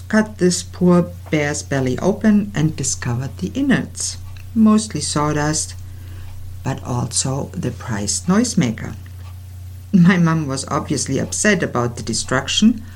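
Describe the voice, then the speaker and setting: Low, measured middle-aged woman with a German accent, show host reading her intro. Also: darkish tone. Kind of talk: scripted personal introduction.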